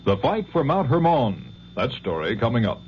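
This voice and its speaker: Male network newsreader, crisp and formal, on a dull 1970s radio broadcast with faint hum behind. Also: mid-range voice, sing-song, moderate pace. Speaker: network news anchor. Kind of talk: newscast reading.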